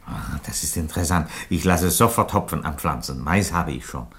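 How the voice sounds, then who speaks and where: A Roman emperor in a radio drama, his voice extremely deep. He reasons calmly and philosophically, with dry irony and polite, measured phrasing. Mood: dry courtesy. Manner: measured, philosophical, drily courteous. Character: calm, ironic, reasonable Roman emperor who loves chickens. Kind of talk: theatrical radio play dialogue.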